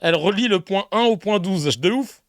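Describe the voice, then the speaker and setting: A man's voice: high voice, animated, moderate pace, dry recording, studio quality. A man hosting a casual live show, reacting to clips with laughter, swearing and mocking asides. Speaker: streamer host reacting to clips.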